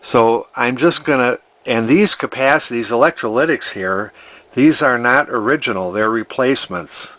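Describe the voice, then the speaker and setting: A man's voice: medium pitch, moderate pace, fairly bright tone. A man narrating an electronics repair casually, thinking aloud in a hesitant, rambling way. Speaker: vintage electronics repair hobbyist.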